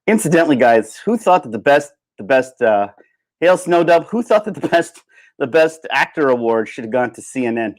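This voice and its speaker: A man giving a casual, opinionated livestream rant, sardonic and emphatic, with halting starts and repeated phrases. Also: mid-range voice, very animated. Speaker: livestream host.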